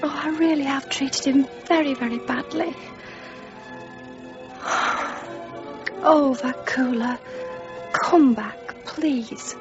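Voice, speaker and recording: A soft, tender young beauty, high voice, unhurried, lo-fi radio drama with faint music. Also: female voice. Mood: remorseful. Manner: soft, slow, heartfelt. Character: proud young village beauty, now remorseful.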